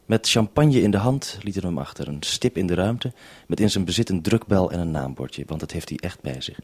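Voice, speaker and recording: A man's voice: low-pitched, animated, fairly quick, a little mellow, breathy edge, dry recording. A man with a Dutch accent presenting a radio report and two-way interview, with a wry, dryly ironic way of talking. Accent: Dutch, from the Netherlands.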